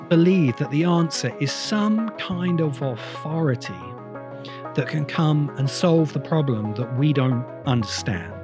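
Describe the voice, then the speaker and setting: A man delivering a reflective, semi-improvised spoken essay as a host, with deliberate, halting phrasing and emphatic repeats. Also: mid-range voice. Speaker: host of a science fiction video essay podcast.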